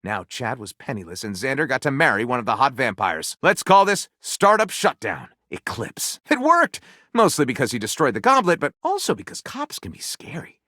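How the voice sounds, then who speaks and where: A grandiose male storyteller for a video game, flirty and wry. His delivery swoops dramatically across a wide range, with a bright, crisp edge. The sound is close and dry, and he narrates like a self-important author tossing in dry asides. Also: mid-range voice, wildly swooping pitch, moderate pace, no echo, heavily acted. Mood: theatrical, sardonic. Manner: pompous, theatrical book narration with wry asides.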